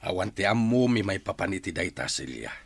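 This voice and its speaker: Blunt middle-aged dad in radio drama, lowish voice, firm, super animated delivery. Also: male voice, fairly quick, creaky, husky, exaggerated voice. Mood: stern, rejecting. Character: stern, blunt father rejecting a woman's love.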